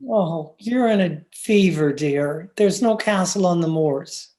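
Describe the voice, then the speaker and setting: Woman as gentle, low-voiced devoted husband. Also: takes it slowly. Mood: tender, concerned. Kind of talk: old-time radio drama acting. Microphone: recorded remotely over video call.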